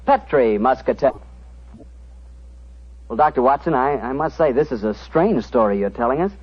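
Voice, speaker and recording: A man's voice: medium pitch, lively intonation, moderate pace, husky. A man with an American accent announcing and hosting in the polished sales style of 1940s radio. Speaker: announcer and host. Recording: dull 1940s radio recording.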